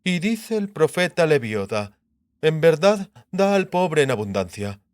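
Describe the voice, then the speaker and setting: A man's voice: fairly high pitch, big pitch swings, a little slow, no room sound, clean studio sound, pitched above the performer's own voice. A man voicing a pious, stern queen in an audiobook, solemnly quoting holy sayings to her husband. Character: pious, bespectacled queen, stern and sententious wife. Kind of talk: dramatised audiobook character acting.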